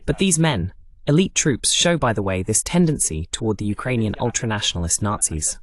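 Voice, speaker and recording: A man hosting a political news broadcast, giving running commentary on war footage with pointed, sardonic asides. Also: lowish voice.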